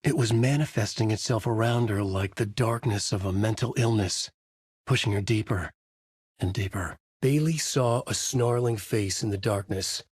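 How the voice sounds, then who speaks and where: A man's voice: on the low side, normal speed, a little mellow, slightly rough, no echo, studio quality. A middle-aged man narrating a video game as a brooding writer, in a noir-like inner monologue. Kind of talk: hard-boiled game narration.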